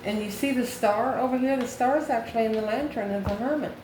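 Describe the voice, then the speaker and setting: A woman's voice: on the high side, quick pace, a little mellow. A woman giving a tarot reading straight to her viewers, in a folksy, familiar manner with emphatic repeated phrases. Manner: folksy, familiar, addresses listener as honey and baby. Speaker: tarot reader, channel host. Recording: echoey room with clear background noise.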